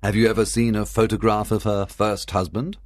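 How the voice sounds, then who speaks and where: A man's voice: lowish voice, level tone, moderate pace, dry recording, studio quality, lightly acted. A man playing a composed Victorian detective who questions methodically in a dramatised audiobook. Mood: probing.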